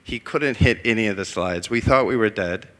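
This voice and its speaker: Bright-voiced man telling an anecdote. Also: on the low side, normal speed. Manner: relaxed, anecdotal. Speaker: panelist. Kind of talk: conference panel talk. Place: conference hall. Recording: reverberant hall.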